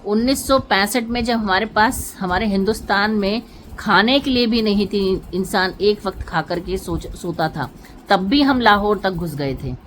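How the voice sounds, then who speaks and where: A woman's voice: medium pitch, moderate pace. Impassioned woman vlogger, noisy recording. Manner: impassioned, rhetorical, repeats phrases for emphasis. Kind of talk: emotional political monologue to viewers.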